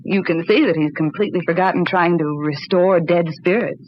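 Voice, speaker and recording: An actress playing a trusting, earnest young wife who soothes and insists, in an old-time radio drama. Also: female voice, fairly low pitch, a little fast, close to an everyday voice. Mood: cheerful, reassuring. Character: trusting, reassuring young wife visiting her eccentric uncle. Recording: dull, noisy old radio recording.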